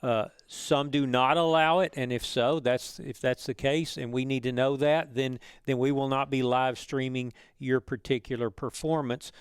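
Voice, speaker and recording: Crisp-voiced man explaining procedures methodically. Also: medium pitch, steady tone, moderate pace, hardly any pauses, no echo, clean studio sound. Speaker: organization official co-presenting a webinar. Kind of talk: explanatory presentation.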